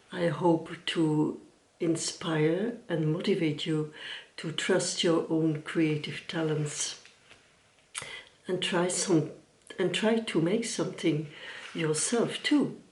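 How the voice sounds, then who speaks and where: A woman's voice: fairly low pitch, a little mellow. A middle-aged woman from Belgium, whose first language is Dutch, hosting a casual sewing vlog in careful English with frequent ums. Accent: Dutch-speaking Belgian (Flemish). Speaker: YouTube sewing and knitting host. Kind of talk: casual vlog talk to camera. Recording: roomy echo from some distance.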